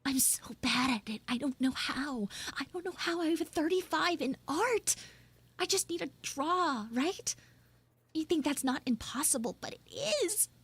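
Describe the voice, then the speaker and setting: Woman putting on a high-pitched, flustered tsundere schoolgirl. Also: animated. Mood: embarrassed. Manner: halting, stammering, dramatic, grumbling. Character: prickly tsundere schoolgirl, flustered, pleading, defensive. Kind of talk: improvised live audio roleplay.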